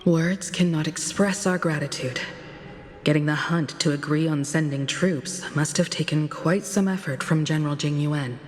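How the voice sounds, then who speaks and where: Game role: actress as composed woman leader, low register, courteous and measured, reverberant sound. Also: a little mellow, close to an everyday voice. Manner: measured, formal, solemn. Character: composed, courteous adult woman leading a starship crew. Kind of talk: video game voice acting.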